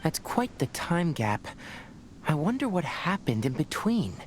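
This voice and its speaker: A calm, measured young scholar. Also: male voice, fairly high pitch, background noise. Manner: calm and measured, slightly reserved. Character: calm young male scholar, composed and reserved. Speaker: scholar and ranger. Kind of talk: video game voice acting.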